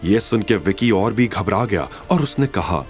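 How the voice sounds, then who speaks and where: A man's voice: on the low side, expressive, measured pace. A man narrating a scripted audio drama story in a dramatic storytelling style. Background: background music.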